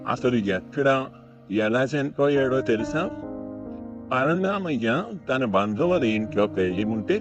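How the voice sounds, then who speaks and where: A reflective, earnest husband in a moral tale played by a man, a once-greedy village lender now humbled. His mid-pitched voice comes through slightly muffled, lo-fi audio. Prominent plucked-string music plays underneath. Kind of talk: dramatized moral story dialogue.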